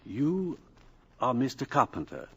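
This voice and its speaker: A man's voice: mid-range voice, huge pitch swings, a little slow, vocal fry, noisy background, full character voice. An actor playing a courteous, curious older male professor in a 1950s radio drama, speaking formally and hesitantly. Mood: polite, probing. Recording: slightly muffled vintage radio broadcast.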